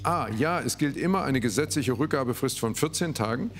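A man hosting a quiz show, reading out questions and answer options in a measured way. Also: mid-range voice, animated, soft background music.

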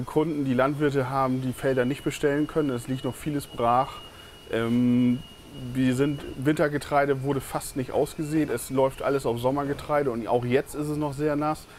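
A man's voice: mid-range voice, a little hiss or hum. A man who runs a workshop, explaining things in a businesslike manner with occasional fillers. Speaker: workshop manager. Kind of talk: casual interview answers.

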